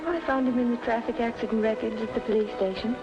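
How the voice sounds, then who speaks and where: Young noir heroine, earnest and polite, fairly high woman's voice, radio drama with music behind female actor. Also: steady tone, fast, lo-fi sound, natural voice. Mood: tender, earnest. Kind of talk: old-time radio drama acting.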